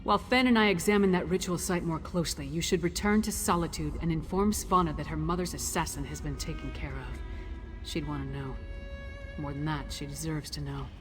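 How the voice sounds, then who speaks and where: An actress playing a tough, battle-hardened warrior woman in a video game, gruff and plain-spoken. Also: low-pitched, brisk, faint music behind, close to an everyday voice. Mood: brisk, resolute. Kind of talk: video game character dialogue.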